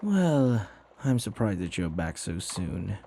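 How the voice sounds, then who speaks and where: Guy doing a flirty butler for asmr, slow n husky, doting on his master, formal wording. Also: lowish voice, very animated, mellow, full character voice. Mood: soft, welcoming. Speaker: ASMR roleplay voice actor. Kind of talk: ASMR roleplay acting.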